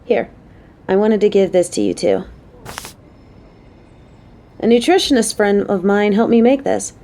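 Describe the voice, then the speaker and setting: A woman's voice: medium pitch, measured pace, dark tone, natural voice. A woman playing a caring, playful coworker on a date in an audio roleplay, talking to the listener in a motherly, teasing, chatty way.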